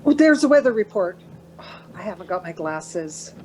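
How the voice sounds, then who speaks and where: An actress playing a lighthearted, playfully teasing middle-aged wife and mother in a naturalistic radio play, with a husky voice. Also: mid-range voice, big pitch swings, moderate pace, darkish tone, a little hiss or hum, close to an everyday voice. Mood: curious, relaxed.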